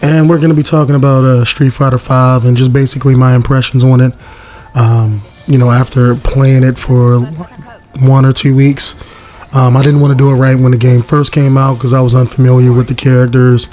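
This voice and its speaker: A young man with a hoarse voice, giving a casual, rambling gaming commentary full of fillers and restarts. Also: medium pitch, flat delivery, brisk, dark tone, faint music behind. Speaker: gaming video host.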